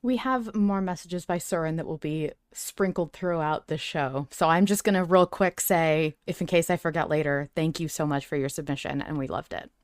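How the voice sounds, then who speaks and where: A woman's voice: deep voice, normal speed, hardly any pauses, dry recording. Emphatic woman chatting on a podcast, close and dry. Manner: emphatic, swears casually. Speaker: podcast co-host. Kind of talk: casual fan discussion chat.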